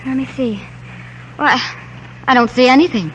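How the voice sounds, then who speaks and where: An American actress playing a devoted, perceptive young doctor's wife in a 1940s radio drama. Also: female voice, high-pitched, moderate pace, a bit bright. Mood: teasing, curious. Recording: muffled, faintly noisy 1940s radio recording.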